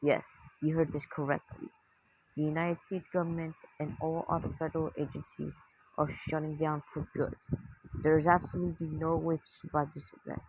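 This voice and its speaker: A synthetic, husky voice reading a scripted emergency broadcast announcement in a formal, unvaried manner. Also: medium pitch, moderate pace, low-quality recording. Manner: flat, formal, synthetic delivery.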